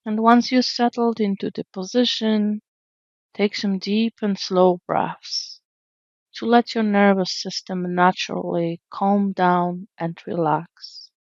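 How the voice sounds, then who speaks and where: A woman leading a guided meditation in a gentle, soothing, unhurried way with long pauses, in a slightly dull recording. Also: mid-range voice, fairly even intonation, no echo. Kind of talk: guided meditation, slow calm narration.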